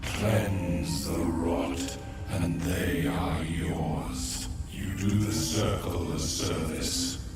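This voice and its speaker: A man's voice: low-pitched, steady tone, over loud music. A man voicing a solemn, grieving fungal sovereign in a fantasy video game, droning and ceremonial, with a heavy echo effect. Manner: slow, droning, ceremonial, terse. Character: solemn, grieving mushroom sovereign, ancient creature leader. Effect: heavy echoing reverb on the creature voice. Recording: heavily echoing, distant processed game voice.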